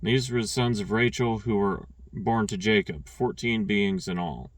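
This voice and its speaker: A man reading scripture aloud, stumbling and restarting on names and clearing his throat now and then. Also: lowish voice, moderate pace, dry recording, recorded from a distance. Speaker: scripture reader.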